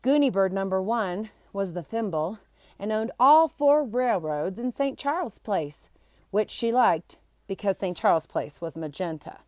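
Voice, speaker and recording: A woman's voice: medium pitch, expressive, a little slow, darkish tone, no echo. A woman reading a children's book aloud, expressively acting out the characters and adding short explanatory asides. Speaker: storybook reader.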